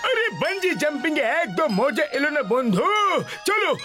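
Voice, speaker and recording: An actor voicing an excitable, carefree, food-loving male buddy in a comic cartoon dub, with a childlike, exaggerated delivery. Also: extremely high pitch, normal speed, over loud music. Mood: eager, carefree.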